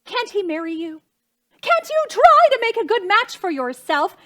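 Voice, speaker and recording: Very high-pitched, flustered society hostess. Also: female voice, very animated, moderate pace, a bit bright, heavily acted. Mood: exasperated, ambitious. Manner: fretful, gushing, dramatic, imperious. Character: flustered, imperious society hostess, a socially ambitious mother. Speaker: stage actress. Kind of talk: theatrical period comedy acting.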